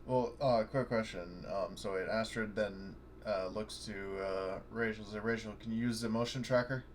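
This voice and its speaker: A man's voice: on the low side, steady tone, moderate pace, slight background noise. A man playing a tabletop horror game, chatting and thinking aloud about tactics. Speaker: player. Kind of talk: tabletop play over voice chat.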